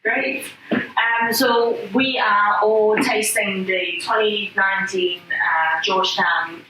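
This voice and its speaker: A woman's voice: mid-range voice, steady tone, takes it slowly. Female host and wine consultant, hesitant delivery, distant mic, reverberant and noisy. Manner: hesitant, many uhs. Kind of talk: hosting a talk. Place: gallery room. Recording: echoey room from far off, with background noise.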